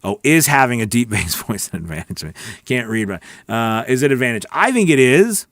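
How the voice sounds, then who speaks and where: A man's voice: medium pitch, sing-song, moderate pace, fairly bright tone, dry recording, clean studio sound. A man hosting a live voice-over class, reading viewer questions aloud and answering them in a chatty, encouraging way with many restarts. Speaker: voice-over coach and livestream host.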